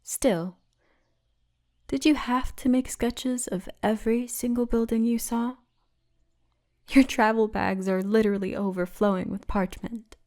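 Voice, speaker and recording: A woman's voice: on the high side, steady tone, a little slow, darkish tone, natural voice. A woman playing a big, confident female orc militia officer who teases as she guides, in an ASMR roleplay with formal phrasing. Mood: teasing, playful. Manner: teasing, confident, formal turns of phrase, often breathy murmur.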